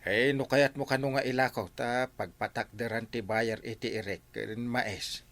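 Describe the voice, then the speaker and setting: A man with an Ilocano Filipino accent playing an excitable, folksy village captain in a comedy drama, full of exclamations. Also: mid-range voice, moderate pace, lightly acted. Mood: eager, folksy. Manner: exclamatory, many interjections.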